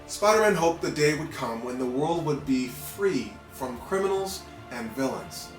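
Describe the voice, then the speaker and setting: A man reading a children's story aloud in an animated narrating style with playful asides. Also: mid-range voice, measured pace. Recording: roomy echo with background music.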